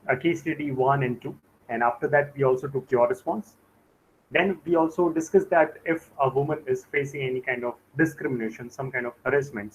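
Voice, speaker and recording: A man from India teaching a live online class, speaking slowly with many hesitations and fillers. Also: medium pitch. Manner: slow, halting delivery with frequent "uh" fillers. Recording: dull lo-fi call audio with faint noise.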